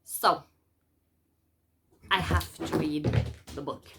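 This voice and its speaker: A woman's voice: low-pitched, fairly even intonation, very slow, creaky, noisy background. A woman from Norway with a Norwegian accent, a native Norwegian speaker, chatting casually as she presents books as a vlogger. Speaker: book vlogger presenting a haul. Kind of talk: casual chat to camera.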